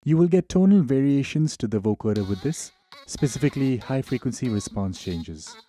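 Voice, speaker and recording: A man narrating a software tutorial in an informal, explanatory way. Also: mid-range voice, expressive, moderate pace.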